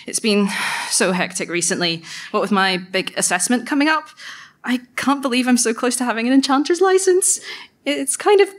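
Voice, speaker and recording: A woman's voice: a little high, animated, moderate pace, natural voice. A woman playing an anxious young apprentice enchanter, talking through a diary entry in a chatty, sweary way in a live audio drama. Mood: nervous. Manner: chatty, self-interrupting, sweary. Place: live on stage at a venue.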